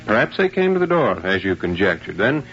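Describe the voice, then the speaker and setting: An actor playing a defensive plantation owner, curt, formal and guarded, in a 1950s radio drama. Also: male voice, on the low side, sing-song, brisk, a bit bright. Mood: guarded politeness. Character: stiff, defensive male plantation owner guarding his household. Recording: muffled, noisy 1950s radio recording.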